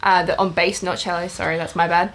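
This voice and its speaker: A young woman co-hosting a podcast, talking in a warm, effusive way with lots of hesitations. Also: fairly low pitch, little pitch movement, fast, slight background noise. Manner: effusive, hesitant with many ums. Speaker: podcast co-host. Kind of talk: casual review chat.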